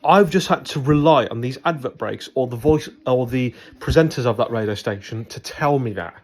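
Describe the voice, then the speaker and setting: A man giving a hands-on spoken product review in a hesitant, repetitive, measured but opinionated way. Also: mid-range voice, darkish tone. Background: background noise and a radio.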